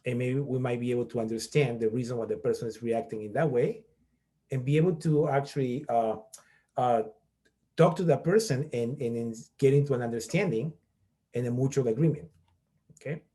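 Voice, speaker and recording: Peru-born prof guy, middle-aged, warm low-key voice, explains step by step, keeps saying okay, talking into a computer mic on a video call. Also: a little fast. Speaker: college professor leading a workshop. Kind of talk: online workshop presentation.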